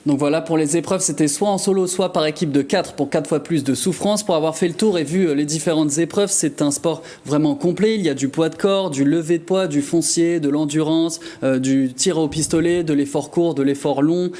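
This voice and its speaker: Guy doing a jokey tv column, voice a bit high and mellow. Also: brisk, hardly any pauses. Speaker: TV magazine columnist. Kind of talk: lively prepared studio chronicle with banter.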